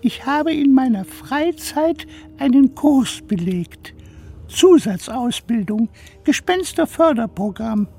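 High, swooping, good-natured little ghost. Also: female voice, expressive, mellow, husky, exaggerated voice. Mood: reassuring. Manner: theatrical, plaintive, drawn-out delivery. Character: good-natured, vain, harmless little ghost, loyal sidekick. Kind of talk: children's radio play acting.

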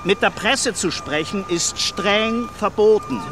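An actor playing an officious, testy fast-food branch manager in a radio drama, curt and bossy, with noise behind him. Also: male voice, sits high. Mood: stern, exasperated. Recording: clear background noise, outdoor ambience.

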